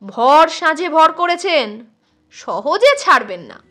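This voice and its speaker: A woman's voice: high voice, big pitch swings, slow, pushed and bright, full character voice. A woman voicing a taunting, boastful male village exorcist in a storybook reading, menacing and jeering.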